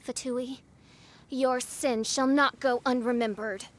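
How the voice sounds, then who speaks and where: A woman's voice: high voice, steady tone, slow pace, slight background noise. A woman playing a theatrical teenage girl adventurer who talks like a grandiose princess in a video game, with pompous archaic diction. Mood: imperious, grandiose. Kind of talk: video game cutscene voice acting.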